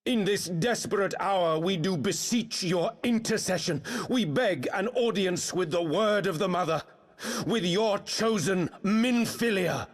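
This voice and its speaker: A solemn male scholar mage from a fantasy game. His slightly high voice delivers formal, archaic phrases like a prayer, trailing long hall reverb. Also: unhurried. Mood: reverent, beseeching. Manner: archaic, formal, incantatory diction. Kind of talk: dramatic video game cutscene acting.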